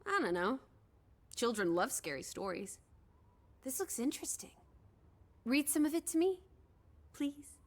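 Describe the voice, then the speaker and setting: An actress playing a spirited, inquisitive, imaginative young female companion in a video game, expressive, playful and probing. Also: high-pitched, a little slow, pauses often, exaggerated voice. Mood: curious. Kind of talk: scripted game dialogue.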